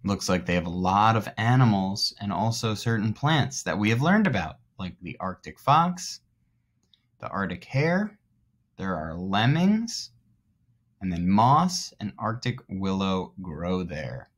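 A man's voice: low voice, big pitch swings, measured pace, breathy edge. A man in his thirties reading aloud to young children as their teacher, explaining things in simple terms. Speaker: elementary school teacher.

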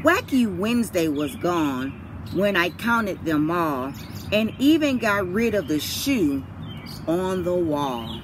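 Animated, unhurried woman reading a picture book. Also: on the low side. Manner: deliberate, dramatic. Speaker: teacher reading aloud. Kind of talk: expressive children's picture-book read-aloud. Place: outdoors by a brick house. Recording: outdoor ambient noise, birds, vehicles.

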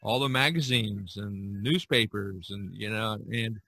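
A man hosting a casual podcast discussion, talking haltingly and often repeating his words. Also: on the low side, vocal fry, pushed and bright. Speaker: podcast host.

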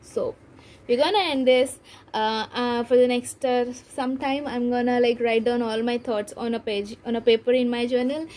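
A woman's voice: on the high side, steady tone, moderate pace, a little mellow. A young woman giving a casual book review to camera, thinking aloud hesitantly in a room at home. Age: young adult. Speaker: book vlogger. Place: small room at home, indoors.

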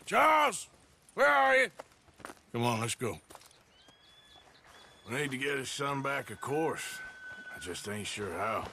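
A man playing a world-weary, loyal American outlaw with a rough drawl in a western game. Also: medium pitch, big pitch swings, slow pace, pauses often, bright, forceful tone, a little hiss or hum, full character voice. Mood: calling out, then resolute. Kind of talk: cinematic western game dialogue. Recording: dramatic music bed underneath.

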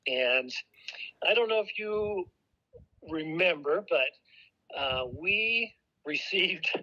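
Over a muffled phone recording, a middle-aged American man talks in a polite, casual way. He is hesitant and halting as he explains himself. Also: high-pitched, lively intonation, sharp tone. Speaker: congregation elder on a phone call.

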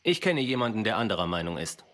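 Ein Schauspieler als zynischer, abergläubischer Soldat in einer deutschen Videospiel-Synchronisation, bohrend und unverblümt. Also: male voice, lowish voice, sing-song, fast talker, a bit bright, a little hiss or hum. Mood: accusing. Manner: probing, blunt. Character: cynical, accusing, superstitious American soldier. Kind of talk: German video game dub acting.

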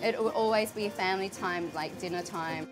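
A woman's voice: mid-range voice, moderate pace, over music. A woman in her thirties with an Australian accent, explaining a family recipe casually as she cooks, with lots of fillers. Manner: chatty, with frequent fillers. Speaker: cooking show contestant. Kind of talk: casual explanation while cooking. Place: home kitchen indoors, later a restaurant kitchen.

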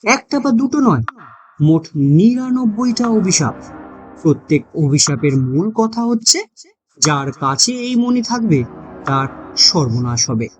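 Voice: medium pitch, sing-song, unhurried, soft background music. A narrator reading a scripted horror mystery story in a dramatic storytelling manner.

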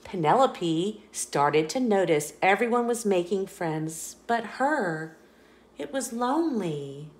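A woman reading a children's picture book aloud in a playful, expressive storytelling voice, indoors. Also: medium pitch, slow. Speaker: storybook reader. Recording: roomy echo.

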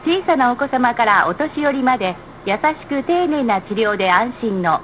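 A woman reading scripted stop announcements on a bus in a measured, formal, polite manner. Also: a little high, animated. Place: played over speakers inside a moving bus. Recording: muffled, noisy recording inside a bus. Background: bus engine and road noise.

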